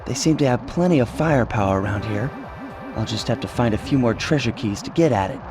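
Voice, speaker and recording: A man voicing a suave young raccoon master thief in an animated game, wry, confident and smooth-talking. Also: medium pitch, a little fast, lightly acted. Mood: confident, easygoing. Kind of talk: animated video game cutscene acting. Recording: game music behind. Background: game music.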